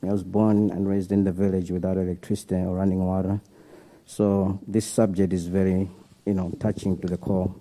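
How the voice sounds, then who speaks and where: A young man from Malawi stands up to ask earnest, halting questions after a presentation, filling his pauses with "uh". His voice sits very low and stays flat, with a dark, mellow tone. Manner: hesitant, many "uh" fillers, earnest. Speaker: audience questioner, graduate student. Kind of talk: asking questions after a talk.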